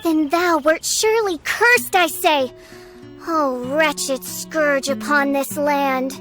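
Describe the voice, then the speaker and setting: A haughty young princess of doom declaims in pompous, archaic phrases. It is theatrical video game acting with grandiose delivery. Music is clearly heard behind the voice. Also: female voice, high-pitched, slow pace. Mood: dramatic.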